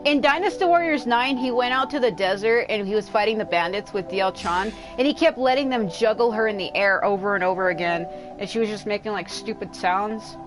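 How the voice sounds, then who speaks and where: A woman's voice: mid-range voice, a little fast, few pauses, poor audio. Woman livestreamer with music playing behind. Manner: blunt, frequent swearing; rambling, complaining. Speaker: livestreamer playing a video game. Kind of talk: casual gameplay commentary.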